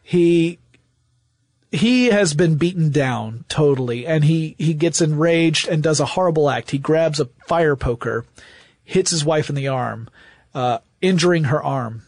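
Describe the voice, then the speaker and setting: A man's voice: on the high side, unhurried, darkish tone, no room sound. A man co-hosting a show, explaining history conversationally like a storyteller, with emphatic repetition. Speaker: podcast co-host.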